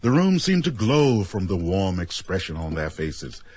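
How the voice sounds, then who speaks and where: A man narrating a radio drama as a measured storyteller, reading scripted prose. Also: deep voice, sing-song, a little mellow, exaggerated voice. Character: measured storyteller of a strange tale.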